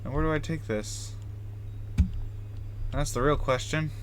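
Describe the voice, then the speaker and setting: A man's voice: fairly high pitch, measured pace. A man giving casual live video game commentary as a streamer, talking to himself in a self-deprecating, mildly sweary way. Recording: clear keyboard and typing noise.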